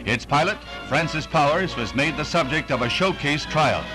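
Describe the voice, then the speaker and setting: A man's voice: fairly high pitch, lively intonation, normal speed, over music. An American man narrating a scripted 1960s newsreel in a dramatic, authoritative announcer's delivery, on a vintage soundtrack.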